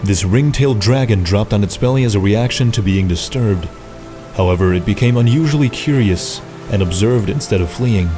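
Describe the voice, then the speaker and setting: A man giving scripted, measured documentary narration. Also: lowish voice. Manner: measured, informative. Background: background music.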